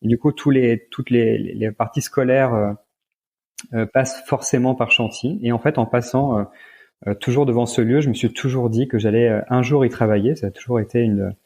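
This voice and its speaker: A middle-aged man from northern France with a French accent, talking casually and haltingly as an interview guest. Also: mid-range voice, fairly even intonation, mellow, slightly rough. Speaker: interview guest, events manager.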